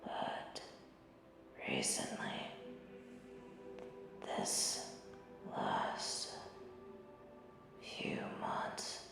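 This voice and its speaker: A woman playing a proud, guilt-burdened angel of judgment in a dramatic audio roleplay monologue, with halting, intense delivery. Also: in a whisper. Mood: troubled, burdened. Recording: cavernous echo with music behind.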